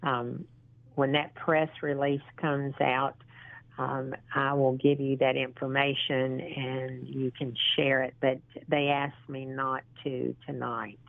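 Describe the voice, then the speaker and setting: A woman in her sixties with a low voice talks slowly over a tinny phone line. She is earnest and encouraging. She often stops with ums and starts her sentences over. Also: flat delivery, vocal fry, no echo. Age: 60s. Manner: halting, with frequent ums and restarts. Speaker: interview guest, model and actress. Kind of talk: casual phone interview.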